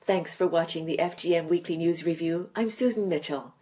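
Measured woman reading the news. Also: lowish voice, a little hiss or hum. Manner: even, measured delivery. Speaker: news anchor.

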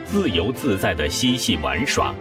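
A man's voice: lowish voice, very animated, normal speed. A man delivering scripted, poetic documentary narration in a declamatory manner. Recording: distant voice under loud background music.

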